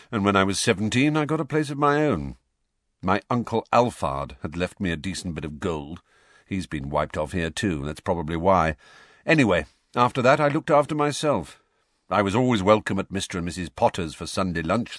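Narrator's brusque, bitter man, lively intonation. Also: low-pitched, normal speed, no room sound, studio quality, exaggerated voice. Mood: casual, wry. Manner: curt, snapping, scornful. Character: brusque, bitter, impatient adult man, master of the house. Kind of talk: audiobook character voice.

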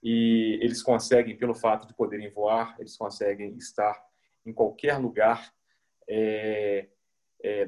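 A man's voice: lowish voice, a little mellow. A man hosting a podcast from home, talking in a reflective, hesitant way. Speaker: podcast host. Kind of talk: casual podcast chat.

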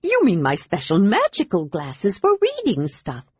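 A woman's voice: mid-range voice, huge pitch swings, unhurried, husky, no echo, lo-fi sound, heavily acted. A woman voicing an eager, chatty little male kender who rambles breathlessly.

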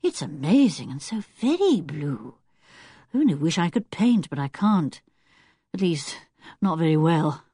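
A woman's voice: low-pitched, sing-song, measured pace, dark tone, slightly rough, no echo, clean studio sound, heavily acted. A woman voicing a cheerful, polite, confident teenage boy in a children's audiobook, eager and well-spoken with a British accent. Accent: British English. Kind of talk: children's audiobook character acting.

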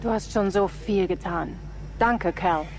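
A woman's voice: mid-range voice, unhurried, darkish tone, natural voice. Woman acting a female rebel, mid-range voice, somber, encouraging, faint background noise, game drama. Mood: somber, hopeful. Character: somber, grateful female resistance fighter.

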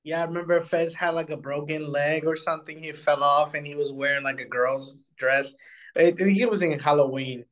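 A man's voice: on the high side, steady tone, normal speed, fairly bright tone. Laid-back male podcast co-host. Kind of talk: casual podcast chat.

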